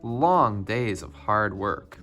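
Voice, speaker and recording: A man reading a children's picture book biography aloud, phrase by phrase with expressive emphasis. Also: lowish voice, slow, soft background music. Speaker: storybook reader.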